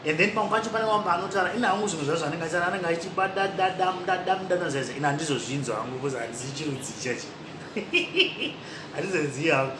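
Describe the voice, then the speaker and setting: A man's voice: a little high, racing. A man hosting a podcast, giving chatty, rambling commentary and laughing at himself now and then. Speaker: podcast host. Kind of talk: casual commentary mixing Shona and English. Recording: echoey room with noisy background.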